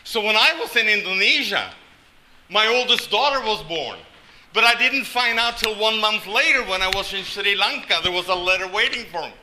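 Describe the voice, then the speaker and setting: A man's voice: sits high, animated, normal speed, pushed and bright, a little hiss or hum, poor audio. An older man from Norway preaching a sermon, telling stories with folksy, self-deprecating humor. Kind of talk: sermon with storytelling.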